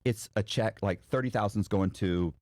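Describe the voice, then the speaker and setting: A man telling his own story in a casual, animated conversational style, acting out dialogue and swearing freely. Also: fairly low pitch, moderate pace, no echo, clean studio sound. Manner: acts out both sides of remembered dialogue; casual, profane.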